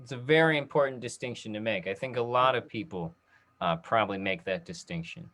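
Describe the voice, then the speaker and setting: A man's voice: lowish voice, fairly quick, fairly bright tone. A man moderating a panel talk, putting questions to guests and reading out comments.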